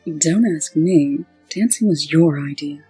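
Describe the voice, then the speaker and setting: Woman doing a sharp impatient lady for a comic dub, husky low voice, dry, bit of music behind. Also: takes it slowly, heavily acted. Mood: irritated. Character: brisk, sardonic woman official, sharp and impatient.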